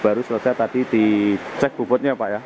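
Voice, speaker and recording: A man's voice: steady tone, a little fast, muffled recording. A young man narrating a casual vlog while touring a cattle shed, talking to viewers as friends. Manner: chatty, addresses viewers as friends, many fillers. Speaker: vlogger touring a cattle farm. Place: large open cattle shed. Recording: noisy barn with rain and cattle. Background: cattle lowing, rain.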